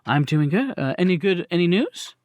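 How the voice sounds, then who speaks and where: A polite woman householder in a tabletop game, played by a man, fairly high in pitch. She is welcoming and courteous, speaking haltingly. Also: sing-song, a little mellow, no echo. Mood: polite, hesitant. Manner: hesitant, courteous.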